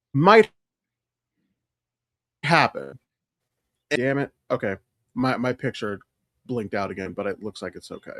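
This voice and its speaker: Male podcast co-host, expressive swinging intonation, emphatic, profane, casual opinionated chat, dry close sound. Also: medium pitch, dry recording. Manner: animated, emphatic, freely profane.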